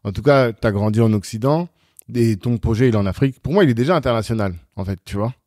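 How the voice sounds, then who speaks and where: A man's voice: mid-range voice, fast, a little mellow, no room sound, studio quality. A man interviewing a guest in casual chat, backing him often with short affirmations.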